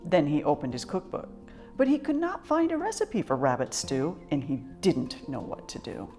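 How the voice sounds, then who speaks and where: Animated woman reading a children's story. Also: sits low, moderate pace, soft background music. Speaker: storybook reader. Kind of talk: expressive children's story narration.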